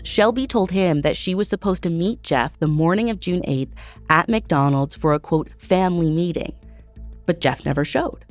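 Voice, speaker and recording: A woman with an American accent narrates as a true crime podcast host. Her voice sits on the low side, with music playing behind her scripted storytelling.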